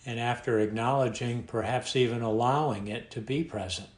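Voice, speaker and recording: A man leading a guided meditation in a calm, unhurried manner, leaving long pauses between phrases. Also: mid-range voice. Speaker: meditation teacher. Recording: echoey room sound.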